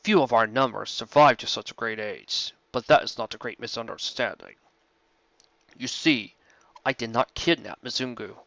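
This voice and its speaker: A man voicing a huge ancient male dragon, wise and courteous, speaking in formal, deliberate, unhurried phrases. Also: mid-range voice, gravelly, fairly bright tone, no room sound, lo-fi sound. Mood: calm, explaining.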